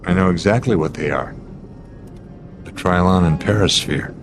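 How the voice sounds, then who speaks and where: An actor playing a steady, authoritative airline captain under strain in a radio drama, speaking deliberately. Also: male voice, sits low, a little hiss or hum, natural voice. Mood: grave, puzzled.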